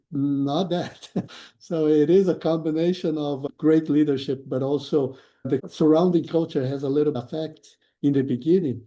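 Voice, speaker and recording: Male guest, thoughtful and explanatory, very dark tone, lo-fi audio. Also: on the high side. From Brazil. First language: Portuguese. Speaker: guest, lean consultant. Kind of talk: podcast interview.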